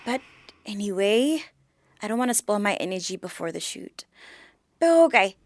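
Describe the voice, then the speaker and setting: A woman's voice: mid-range voice, lively intonation, moderate pace, natural voice. A woman with a Botswana accent acting a proud, frustrated young mother protesting to her own parent in a radio drama. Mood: indignant. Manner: assertive, protesting.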